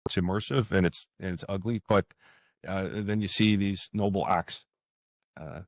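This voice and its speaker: A man's voice: sits low, steady tone, normal speed, dry recording, studio quality. A man answering as an author guest in a casual interview, hesitant, hedging and repeating words as he thinks.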